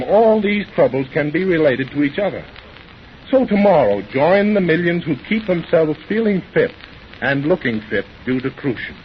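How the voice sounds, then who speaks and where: A man reading a scripted sponsor message as a formal, earnest announcer in 1930s radio style. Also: on the high side. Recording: muffled, hissy old radio recording.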